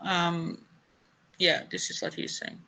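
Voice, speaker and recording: A woman speaking unscripted as an interview guest, hesitant and halting, often repeating herself. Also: fairly low pitch, little pitch movement, gravelly, loud music behind the voice, rough recording.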